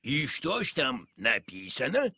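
An actor voicing a blunt, demanding, excitable crocodile in a children's cartoon. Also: medium pitch, a little slow, heavy background noise. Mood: questioning.

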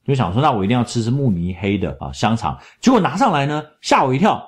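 A middle-aged man, chatting in a travel vlog voice-over and telling stories in an animated way. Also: medium pitch, quick pace. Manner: chatty, animated storytelling with laughs. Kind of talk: casual travel commentary voice-over.

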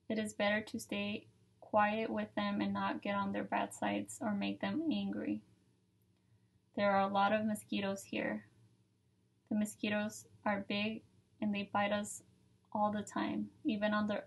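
A young woman seated at a table, reading a legal declaration aloud in a steady, measured way. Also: mid-range voice, creaky. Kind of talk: solemn reading of testimony. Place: indoor room with dark curtain, seated at table.

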